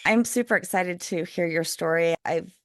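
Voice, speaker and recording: A woman's voice: lowish voice, moderate pace, no echo, studio quality. A middle-aged woman hosting a podcast, chatting casually with a guest and using many fillers. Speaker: podcast host. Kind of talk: casual interview chat.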